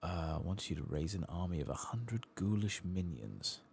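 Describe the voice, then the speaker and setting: A soft-spoken British man murmuring ASMR-style game commentary, sometimes dropping towards a whisper. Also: deep voice, lively intonation, moderate pace, vocal fry, slight background noise. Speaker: host of gaming playthrough.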